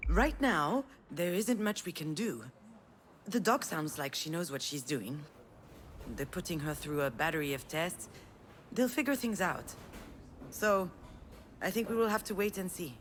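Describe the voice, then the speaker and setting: An actress playing a self-deprecating, conciliatory sister in earnest, conversational game dialogue. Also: female voice, lowish voice, lively intonation, unhurried, a little hiss or hum. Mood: reassuring.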